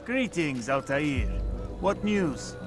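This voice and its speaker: A courteous assassins' bureau chief in a game, earnest and formal. He speaks deliberately in a fairly high voice over loud music. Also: very animated. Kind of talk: video game voice acting.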